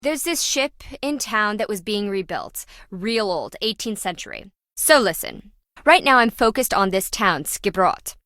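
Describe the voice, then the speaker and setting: A woman's voice: mid-range voice, lively intonation, a little slow, a bit bright, no echo, clean studio sound. A woman playing a brash, self-important treasure hunter in a video game, sarcastic and slangy, prone to flippant, dramatic complaining. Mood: resentful, emphatic. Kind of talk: video game character dialogue.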